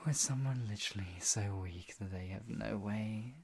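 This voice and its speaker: A performer playing a possessive, sadistic yandere captor in an improvised ASMR roleplay, mocking and menacing, with a breathy voice that often drops toward a whisper. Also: mid-range voice, a little mellow, exaggerated voice. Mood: gloating menace. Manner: menacing, mocking, slow drawn-out taunts broken by laughs and sighs.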